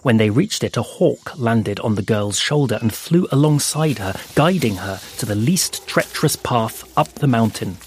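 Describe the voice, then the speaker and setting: A man narrating an audio fable in a slow, hushed storytelling manner with dramatic pauses. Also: medium pitch, lively intonation, a little hiss or hum. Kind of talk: scripted audio fiction narration. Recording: soft music underneath.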